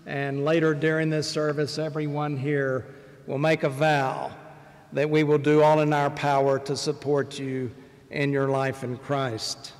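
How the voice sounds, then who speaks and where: An older man, a bishop, delivering a measured, deliberate sermon from a pulpit in a large church sanctuary. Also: a little high, level tone. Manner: measured, deliberate preaching with pauses. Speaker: bishop preaching. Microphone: pulpit microphone, far camera. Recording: long church reverb.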